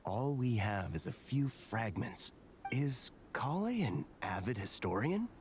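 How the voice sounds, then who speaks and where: A man playing a calm, serious, scholarly video game character who speaks in a measured, pensive, articulate way. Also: medium pitch, slight background noise.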